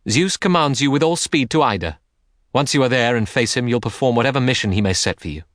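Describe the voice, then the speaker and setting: A man's voice: medium pitch, expressive, quick pace, fairly bright tone, dry recording, voice pushed up, natural voice. Casting: imperious, bitter goddess queen voiced by a man for an epic audiobook, scornful delivery, dry sound. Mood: commanding. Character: haughty, bitter queen of the gods. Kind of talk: dramatic audiobook character reading.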